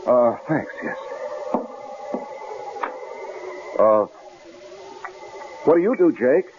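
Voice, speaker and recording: An actor playing a mild, anxious, polite engineer husband with a hesitant, stammering, placating manner in a 1970s radio drama. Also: male voice, mid-range voice, animated, takes it slowly. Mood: nervous, polite. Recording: dull, very noisy old radio broadcast.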